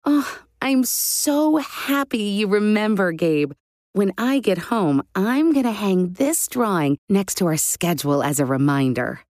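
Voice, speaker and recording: A woman voicing a patient, caring mother in a storybook, explaining things in a calm, reassuring way. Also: on the low side, a little slow, no echo, clean studio sound. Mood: pleased.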